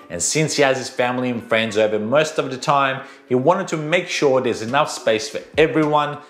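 A male presenter in his thirties narrates a tech video in an upbeat, scripted style. His voice sits at an average pitch. The sound is noticeably roomy, with light music playing behind him. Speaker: home theater channel host. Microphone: lapel mic. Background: background music.

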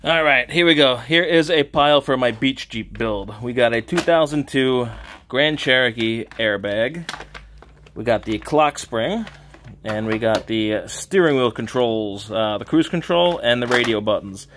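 A man's voice: medium pitch, measured pace, slight background noise. A man in his thirties hosting a casual car-parts vlog, upbeat and chatty, recorded in his home garage workshop on a camera held at arm's length. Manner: excited, upbeat, chatty with laughs. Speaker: channel host. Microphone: handheld camera at arm's length.

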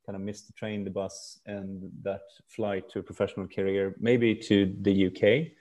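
Low-voiced man, reflective podcast guest. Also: level tone, moderate pace, darkish tone. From Stockholm, Sweden. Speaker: podcast guest, brand executive. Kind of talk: casual interview talk.

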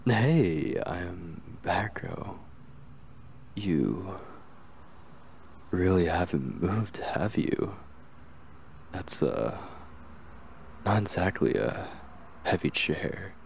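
Man acting as obsessive young male kidnapper, ASMR roleplay, very low pitch, slow halting delivery, soft and protective. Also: animated, creaky, a little hiss or hum, full character voice. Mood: puzzled, concerned. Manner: hesitant, halting, gently reassuring.

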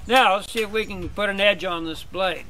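An older man giving a relaxed, step-by-step outdoor demonstration as a sawmill instructor, talking in a plain, easygoing way. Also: high-pitched, normal speed, slight background noise, rough recording. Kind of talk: hands-on demonstration. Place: outdoors on a grassy lawn.

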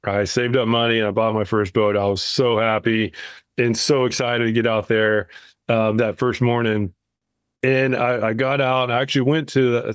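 A man's voice: mid-range voice, no echo. A man co-hosting a podcast, talking casually and anecdotally, often repeating words as he explains. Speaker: podcast co-host.

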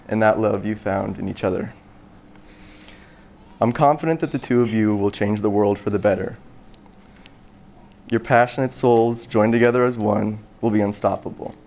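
A young man giving a heartfelt wedding officiant speech in a barn, sincere and measured. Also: fairly low pitch, darkish tone, a little hiss or hum. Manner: sincere, measured, earnest delivery. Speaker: wedding officiant, brother of a bride. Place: large open barn venue, indoors.

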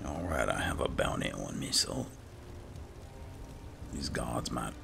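A man doing casual gameplay roleplay commentary in a low-key, muttering way. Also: lively intonation, takes it slowly, vocal fry. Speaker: gamer playing and narrating a roleplay. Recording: music behind the voice. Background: game music.

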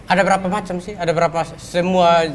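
A young man hosting a studio chat in a Kupang Malay accent, relaxed and joking as he asks short questions. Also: high-pitched, fast talker, crowd noise. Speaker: host. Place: TV studio set at a table.

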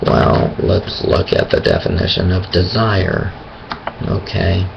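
Slow, gravelly low-voiced man. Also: sing-song, dull audio, background noise. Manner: hesitant, thinking aloud with many pauses and restarts. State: raspy voice. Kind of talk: casual monologue on faith.

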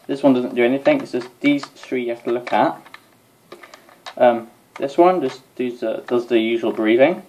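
Young male vlogger, tired rambling chat, mid-pitched, faintly noisy phone recording in a bedroom. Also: normal speed, a little mellow. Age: young adult. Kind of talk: casual toy demonstration to camera. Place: small bedroom. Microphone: phone or camera at arm's length. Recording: faint noise, clicks, mobile recording.

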